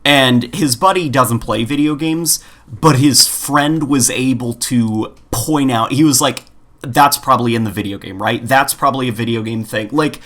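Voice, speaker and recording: A man co-hosting a podcast, chatting casually in an informal, animated way full of filler words. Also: medium pitch. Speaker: podcast co-host. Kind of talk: casual chat.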